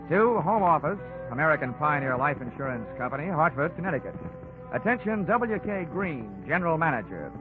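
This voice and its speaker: An actor playing a cocky, wisecracking freelance investigator with a dry, sardonic manner in a 1940s radio drama. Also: male voice, fairly high pitch, narrow, tinny audio, lightly acted. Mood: dry, wry. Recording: thin band-limited 1940s radio recording, music.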